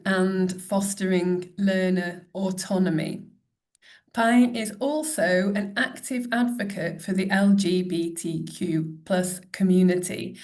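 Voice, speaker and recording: A woman's voice: medium pitch, a little mellow. A woman in her thirties hosting an online teaching webinar over a video call, upbeat and apologetic about a late start. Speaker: webinar host.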